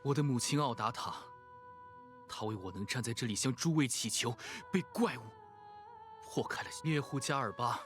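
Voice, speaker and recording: A man's voice: mid-range voice, moderate pace, a bit bright, faint music behind, natural voice. A man playing an earnest young hero who addresses an assembly in a video game, solemn and impassioned. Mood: grieving, solemn. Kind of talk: dramatic game oratory in Mandarin.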